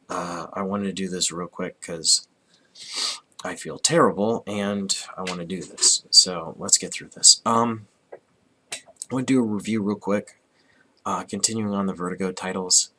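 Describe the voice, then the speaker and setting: A man in his thirties, hoarse from a cold, reviewing a comic casually and rambling with restarts. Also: deep voice, unhurried, pushed and bright, a little hiss or hum. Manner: rambling, frequent restarts and throat clearing.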